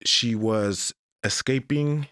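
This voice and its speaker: A man in his forties, originally from Mexico, telling his life story as a guest on an interview podcast in a deliberate, measured way. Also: medium pitch, fairly bright tone, no room sound, studio quality. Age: 40s. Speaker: guest, English professor.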